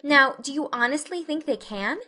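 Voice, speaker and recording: A woman's voice: high voice, animated, normal speed, pushed and bright, pitched above the performer's own voice. A woman reading an audiobook, voicing an eager, imaginative young girl who rambles earnestly. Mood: reproachful.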